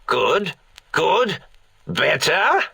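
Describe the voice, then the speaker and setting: An actor voicing an eccentric, absent-minded mad scientist in a video game, a comically villainous figure who rants and rambles in a theatrical, grandiose way. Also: male voice, a little high, sing-song, extremely slow, creaky, sharp tone, heavy reverb, far from the mic, heavily acted. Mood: pleased, scatterbrained.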